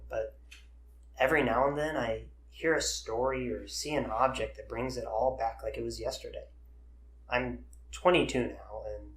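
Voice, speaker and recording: A man playing an earnest, haunted man in his early twenties who tells his eerie story haltingly in an audio drama. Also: medium pitch, close to an everyday voice. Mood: wistful, then earnest, pleading. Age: young adult. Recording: roomy, echoey voice recording.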